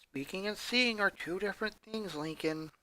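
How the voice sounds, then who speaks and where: A story reader voicing a blunt but secretly caring young girl, with hushed, questioning delivery. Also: mid-range voice, moderate pace, lightly acted, low-quality recording. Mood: hushed concern.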